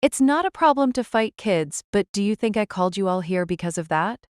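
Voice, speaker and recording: A woman acts a brash warrior queen in an audio drama. The voice is smooth and clear, recorded dry and close, with a curt, commanding delivery that relishes battle. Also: medium pitch, expressive, brisk, darkish tone, dry recording, lightly acted. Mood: confident. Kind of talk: fan audio drama acting.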